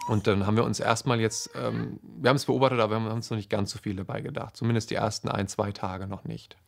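Hesitant young father, gravelly lowish voice. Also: fairly even intonation, fast talker. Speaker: father interviewed. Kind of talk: personal documentary interview recollection.

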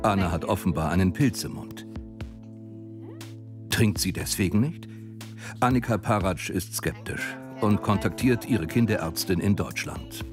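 A man's voice: low voice, animated, unhurried. A man reading scripted, dramatic narration for a medical documentary. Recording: prominent music behind the voice-over. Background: music bed.

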